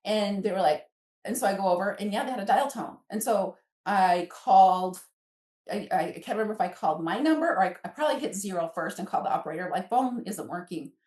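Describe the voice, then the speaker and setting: A woman's voice: mid-range voice, expressive, fast, a little mellow. A chatty woman in her fifties tells personal stories as a podcast guest, acting out remembered bits of dialogue. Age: 50s. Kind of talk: animated personal storytelling. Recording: echoey room.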